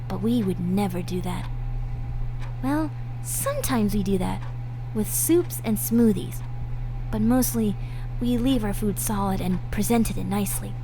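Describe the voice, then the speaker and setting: Woman acting as a monster girl, high-pitched, unhurried, sweet, scatterbrained, chatty, bashful, roleplay audio. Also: background noise, natural voice. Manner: chatty, rambling, bashful, hesitant in places. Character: sweet, eager, scatterbrained female monster girl who bakes. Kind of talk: roleplay audio drama, speaking to a listener.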